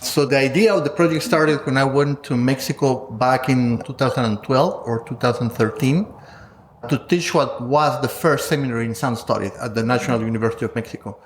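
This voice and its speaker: A man speaking as a guest scholar in an interview, measured and thoughtful, often ending points with a questioning "no?". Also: medium pitch, echoey room, slight background noise. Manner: thoughtful, measured, tags statements with "no?". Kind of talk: conversational interview.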